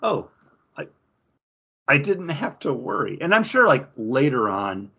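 A man co-hosting a podcast, chatting casually about a mobile game in a rambling, animated way. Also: fairly high pitch, unhurried, creaky, husky, muffled recording. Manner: rambling, animated, acts out his own reactions. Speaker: podcast co-host.

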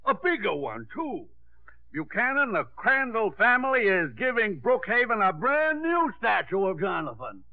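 A man's voice: high-pitched, wildly swooping pitch, moderate pace, phone-quality sound, noisy background, exaggerated voice. An actor playing a cantankerous, meddling old man with a folksy, blustery delivery in a 1950s radio comedy. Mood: brisk, teasing. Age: older. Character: cantankerous, meddling old father-in-law of a small town. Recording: thin, band-limited 1950s radio broadcast.

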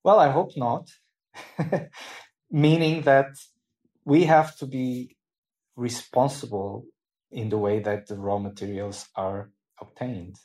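A man giving measured expert answers in a panel discussion, with careful pauses. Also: mid-range voice, darkish tone. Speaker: expert guest.

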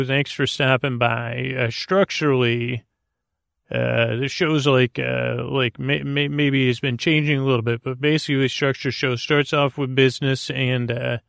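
Creaky-voiced man, soothing and flat in pitch. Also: dry recording, clean studio sound. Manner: meandering, hesitant, halting, repeating words; soothing, lulling. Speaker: podcast host. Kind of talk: rambling sleep podcast monologue.

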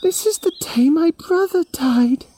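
A man voicing a grieving young woman who weeps for her brother, in a high, soft, tearful voice, as part of a children's story. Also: animated, unhurried.

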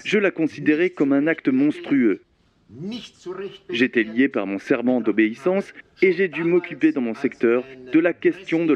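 Guy doing a stiff bureaucrat defending himself at trial, formal and measured, muffled old radio sound. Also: mid-range voice, lightly acted. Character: accused former Nazi official on trial, rigid, self-justifying. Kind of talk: radio drama acting.

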